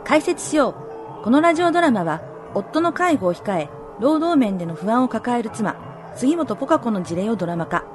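High-voiced woman presenting a radio program. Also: very animated, fairly quick, music in the background.

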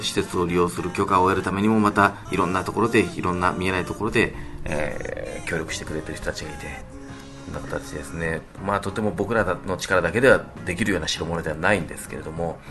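A man talking on a radio show, explaining things politely with frequent drawn-out hesitations. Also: sits low, a bit bright. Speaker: band leader, guest talker on radio show. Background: background music.

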